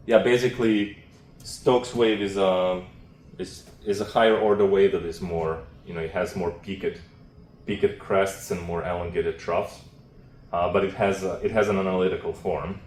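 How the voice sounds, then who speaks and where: A man answering academic questions after a research talk, explaining off the cuff in a halting, thinking-aloud way. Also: deep voice, darkish tone. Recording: roomy echo with faint noise.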